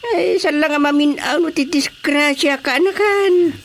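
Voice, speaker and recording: A woman's voice: high voice, a little slow. A woman acting an easygoing but fretful relative in an Ilocano radio drama comedy. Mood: fretting. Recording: faint background noise.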